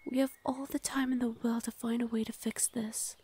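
Protective forest fae female performer, ASMR roleplay: highish, very breathy, soothing, often whispered. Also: little pitch movement, normal speed, mellow. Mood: soothing, apologetic.

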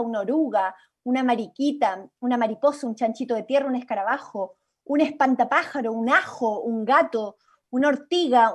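A young Chilean-accented woman with a high-pitched voice leads an online workshop. She is informal and encouraging as she gives instructions over a video call. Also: breathy edge. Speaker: workshop host. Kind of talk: interactive online creative workshop.

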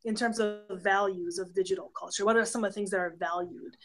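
A woman's voice: medium pitch, steady tone, quick pace. Hungarian accent woman, 30s, laying out ideas w lots of examples, webcam video call from home office. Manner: thoughtful, explanatory, listing examples. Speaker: professor of pastoral theology, guest. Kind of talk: casual interview conversation.

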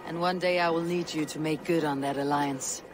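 A woman's voice: deep voice, fairly even intonation, moderate pace, music in the background, natural voice, poor audio. A woman voicing a stoic, resolute female Viking warrior in a video game, grave, firm and plain-spoken. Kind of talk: dramatic video game acting.

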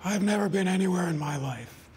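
A man voicing a warm, spirited old woman in a storybook read aloud, wistful and gently teasing. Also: high-pitched, fairly quick, slight background noise.

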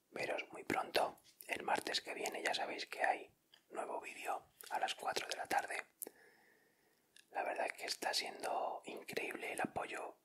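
A young man with a Castilian Spanish accent hosts in a whispered ASMR style. His whisper is crisp and bright, and he takes his time, speaking very slowly and directly to his viewers. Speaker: host of an ASMR channel.

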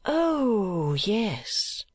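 A woman voicing a gentle, polite young daughter in a storybook reading, soft-spoken and dutiful. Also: medium pitch, big pitch swings, drawn-out words, slightly rough, no room sound, studio quality, voice pushed up, exaggerated voice. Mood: innocent, curious.

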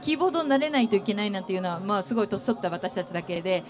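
A middle-aged woman interviewing a guest on an expo stage, asking long, gentle questions full of fillers into a handheld mic. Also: medium pitch, expressive, rapid-fire, some chatter behind. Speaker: interviewer, company executive.

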